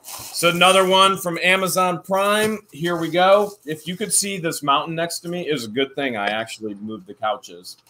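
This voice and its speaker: A man co-hosting a casual vlog, opening fan mail and reading letters aloud with playful, animated jokes. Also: on the high side, moderate pace, rough recording. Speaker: channel co-host.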